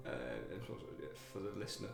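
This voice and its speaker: A man from Wales, a guest telling his own story in a candid interview, halting, with many fillers and restarts. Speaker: guest, army veteran. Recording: echoey room with music behind.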